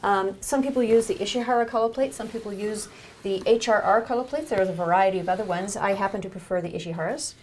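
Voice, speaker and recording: A woman's voice: medium pitch, quick pace, a little mellow, a little hiss or hum. Woman, mid-range voice, clinician, instructional demonstration, encouraging with patient. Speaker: clinician demonstrating an eye exam.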